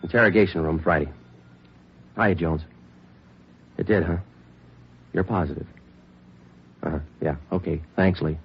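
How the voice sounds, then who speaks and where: An actor playing a terse, relentless police sergeant in an old radio procedural, matter-of-fact and deadpan. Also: male voice, deep voice, a little slow, long pauses, breathy edge, exaggerated voice. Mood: cool, matter-of-fact. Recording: muffled old radio broadcast.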